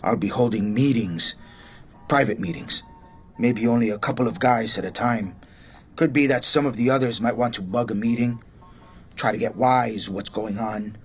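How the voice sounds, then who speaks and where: An actor playing a short-tempered, threatening American gangster boss in a radio drama, with a tough, slangy delivery. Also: male voice, fairly low pitch, moderate pace, faint music behind, lightly acted. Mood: prickly, threatening. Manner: blunt, bossy, threatening. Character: menacing American gangster assassin, short-tempered hotel owner.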